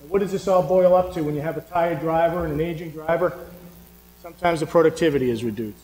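A man's voice: a little high, fast, darkish tone. A middle-aged man with a Boston accent giving a friendly conference talk from a podium in a hotel meeting room. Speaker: conference speaker. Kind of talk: conference presentation. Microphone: podium microphone. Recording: reverberant conference hall.

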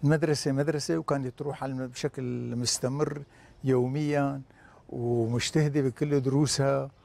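Gravelly, quick-talking older man. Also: mid-range voice, fairly even intonation, darkish tone. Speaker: parent of a student. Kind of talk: interview. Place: outdoor garden walkway.

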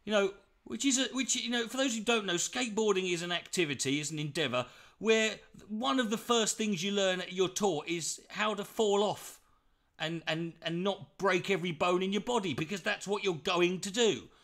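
A man's voice: sits high, moderate pace. A man with an Irish accent giving a profane, mocking reaction commentary from home. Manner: mocking, swearing, animated.

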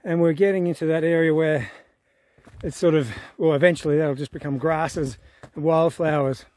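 A man, talking to his camera in a relaxed, awed way as he hikes an open alpine trail, filmed at arm's length. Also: on the high side, moderate pace, dry recording. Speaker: hiker vlogger. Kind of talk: casual on-the-go vlog narration. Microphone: phone camera held at arm's length.

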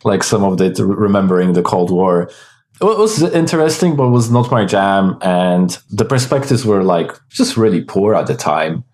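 A man in his thirties, born in Poland, chats on a video call. His voice sits very low, and he rambles with fillers and restarts. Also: very animated, moderate pace, a little mellow. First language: Polish. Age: 30s. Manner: rambling, many likes and restarts. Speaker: guest developer. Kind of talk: casual interview chat. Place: home room with window. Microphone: video call with headset.

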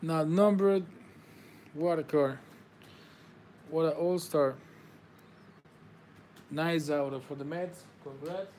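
A man's voice: on the high side, steady tone, slow pace, pauses often, darkish tone, a little hiss or hum. Upbeat man calling out trading cards. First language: Spanish. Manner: upbeat, congratulating viewers, non-native phrasing. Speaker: card break host. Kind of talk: live card-breaking commentary. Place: indoor table with card boxes.

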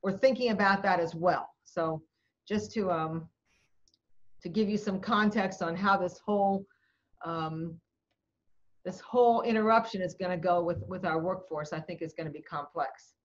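A woman moderating a video call town hall, answering questions in a measured way with many fillers. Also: fairly low pitch, dull audio.

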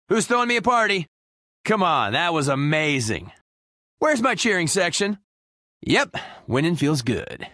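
The wisecracking space rogue you would pick in a superhero video game, swaggering through slow, theatrical one-liners in a dry, close studio sound. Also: male voice, sits high, pushed and bright, no echo, heavily acted. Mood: triumphant, bragging. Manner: brash, sarcastic, theatrical. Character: cocky, wisecracking, boyish male space adventurer hero. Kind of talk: video game character voice lines.

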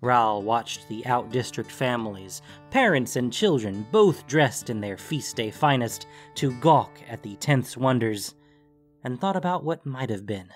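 A man's voice: mid-range voice, expressive, a little slow. A man reading scripted fantasy fiction as an audiobook narrator. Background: music.

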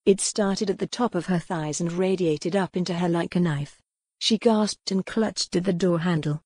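A woman's voice: lowish voice, moderate pace, a little mellow, husky, no room sound. A woman audiobook narrator reading dramatic fiction from a script.

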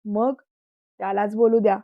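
A woman's voice: mid-range voice, steady tone, takes it slowly, no echo, natural voice. Woman playing strict elderly uncle, curt and commanding, dry dull audio. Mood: curt stern. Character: stern traditional older uncle, head of house.

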